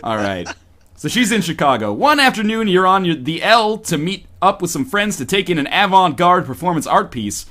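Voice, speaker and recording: A man's voice: high voice, very animated, normal speed, sharp tone. A man reading a story aloud on a stream in a playful, mock-dramatic way with joking asides.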